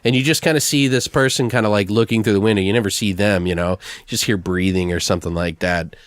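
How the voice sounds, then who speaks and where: A man's voice: on the low side, fairly even intonation, fast, no echo. A man co-hosting a podcast, casually improvising a comic story in a rambling, profane way. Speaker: podcast co-host. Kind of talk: casual comic brainstorming of a made-up slasher story.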